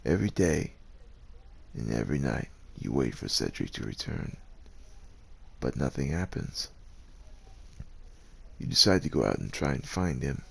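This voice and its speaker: Very deep, gravelly male story narrator. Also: very animated, a little slow, long pauses, fairly bright tone. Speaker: narrator, voice actor. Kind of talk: scripted second-person story narration for ASMR.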